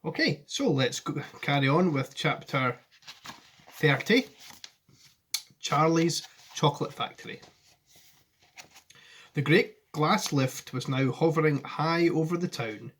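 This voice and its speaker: A man's voice: on the high side, lively intonation, takes it slowly, long pauses, a bit bright, a little hiss or hum. A middle-aged man, a primary school teacher, narrating a storybook for children. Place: indoors, living room at home.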